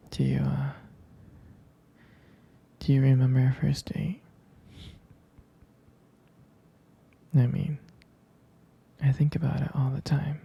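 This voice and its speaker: Man acting as devoted possessive boyfriend, ASMR roleplay, very breathy, dark mellow tone, hesitant intimate murmur. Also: medium pitch, exaggerated voice. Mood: affectionate, nostalgic. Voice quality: breathy, gravelly, half-whispered. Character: jealous, possessive but tender, devoted boyfriend.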